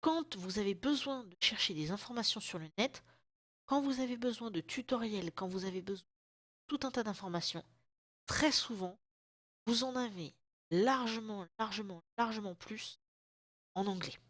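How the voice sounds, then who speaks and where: A woman in her thirties chats to her viewers in loose, slangy, self-mocking talk, her throat still a bit sore from a lingering cold. Her voice sits at an ordinary middle pitch. The sound is close and dry, with almost no room around it. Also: moderate pace, dry recording. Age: 30s. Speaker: vlogger. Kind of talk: casual chat to camera. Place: at home, indoors.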